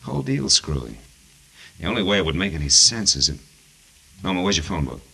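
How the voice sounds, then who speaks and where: An American actor playing a sardonic, hard-boiled private detective in 1940s radio noir, with clipped, wisecracking delivery. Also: male voice, sits low, sing-song, brisk, creaky, bright, forceful tone, breathy edge, heavily acted. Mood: probing. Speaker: lead actor and narrator. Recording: noisy vintage 1940s radio recording.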